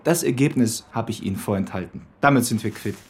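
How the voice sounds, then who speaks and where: An actor playing a wry, self-assured police detective in a German radio crime drama, speaking in a dry, knowing, matter-of-fact way. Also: male voice, fairly low pitch, fairly quick, a little mellow, close to an everyday voice.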